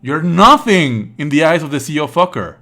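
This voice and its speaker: A man live-streaming casual commentary on a game playthrough, sardonic, exasperated and quick to swear. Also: fairly high pitch, sing-song, pushed and bright.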